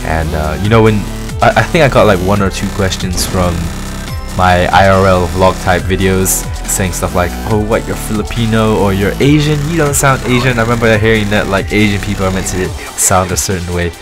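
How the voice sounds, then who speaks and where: Low-voiced young man, mixed London-American accent. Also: normal speed. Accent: London English mixed with American. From London, England. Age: around 20. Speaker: gaming video creator. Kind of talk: casual gameplay commentary, personal Q&A. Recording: music behind the voice. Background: music.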